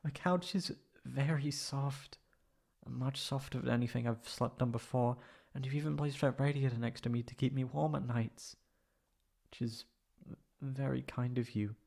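A man playing a prickly young catboy in an ASMR roleplay, haughty but secretly soft-hearted and stammering when flustered. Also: mid-range voice, fairly even intonation, moderate pace, vocal fry. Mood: hesitant, wistful.

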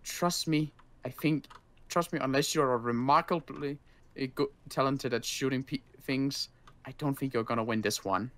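A man playing a weary, wary zombie-apocalypse survivor in an improvised game roleplay, halting, sarcastic and guarded. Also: mid-range voice, a bit bright, lightly acted. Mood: threatening.

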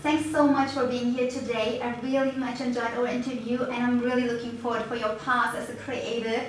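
Young woman hosting, slightly high voice. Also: little pitch movement, quick pace, a little hiss or hum. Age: young adult. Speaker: host. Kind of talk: hosting an interview. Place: bare studio room indoors. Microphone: lapel. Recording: echoey room, mic at a distance.

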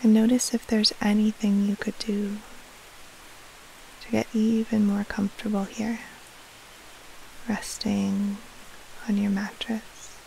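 Woman, hushed sleep meditation guide, unhurried, fry-edged voice, soothing, breathy, short phrases with long pauses. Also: mid-range voice, level tone, low-quality recording. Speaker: yoga nidra meditation teacher. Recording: faint hiss and rustle. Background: soft rain-like noise.

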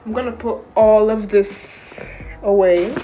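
A woman's voice: mid-range voice, steady tone, slow, dark tone. Young woman, casual vlog chat, informal and rambling, echoey indoor room, background music. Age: young adult. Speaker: vlogger, university student. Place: indoor student flat kitchen and rooms. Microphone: camera at arm's length or propped nearby.

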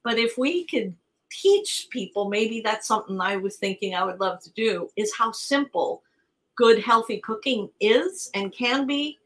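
A woman's voice: on the high side, lively intonation, unhurried. A middle-aged woman whose first language is Italian, chatting animatedly as a guest on a video call. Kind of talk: casual video call chat.

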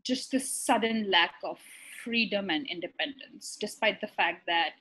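A young woman from Pakistan speaks over a laptop webcam from a bright apartment room, her voice a little husky and breathy. She talks in a relaxed, thoughtful way, often pausing with ums and restarting sentences as she explains herself. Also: mid-range voice, poor audio. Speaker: physician guest. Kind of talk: casual conversational interview. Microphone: laptop webcam on a video call.

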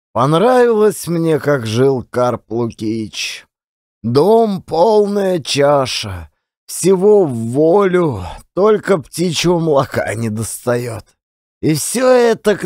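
Guy acting as folksy russian working man telling his tale, audiobook, lively swooping pitch, dry close sound. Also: no room sound. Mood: reflective, wistful. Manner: folksy, colloquial storytelling. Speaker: audiobook narrator and actor. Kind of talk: one-man dramatized audiobook reading of classic fiction.

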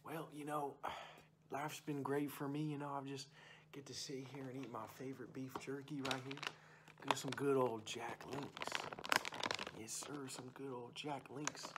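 A man's voice: mid-range voice, level tone, measured pace, noisy background, voice pushed up, lightly acted. A young man playing a boastful, folksy uncle who rambles about hunting and fishing in an ASMR roleplay. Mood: chummy, easygoing. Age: young adult. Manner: folksy, rambling, repeats stock phrases. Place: small room indoors.

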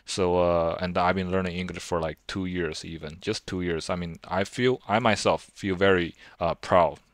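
Young Chinese-accented man, very low voice. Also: fairly even intonation, normal speed, dry recording. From China. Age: 20s. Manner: rambling, self-deprecating, frequent fillers and restarts. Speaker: vlogger. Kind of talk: casual talk to camera over gameplay.

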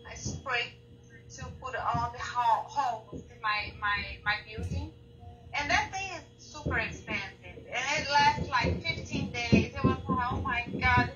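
A woman's voice: high-pitched, unhurried, pushed and bright, dull audio, a little hiss or hum. A woman from Brazil with Portuguese as her first language, telling her story as an interview guest, hesitant and often restarting her words. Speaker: guest, business owner. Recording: distant, roomy video-call audio.

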